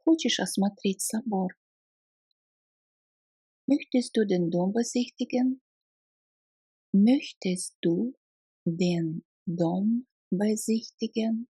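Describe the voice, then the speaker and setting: Very slow, mellow-voiced woman reading phrases. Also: medium pitch, slightly rough, no echo. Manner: slow, careful phrase-by-phrase repetition. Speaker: language lesson reader.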